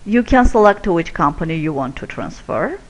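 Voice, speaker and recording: Lively-pitched woman walking through a software demo. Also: fairly quick, low-quality recording. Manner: steady step-by-step explaining while clicking through screens. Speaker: software product presenter.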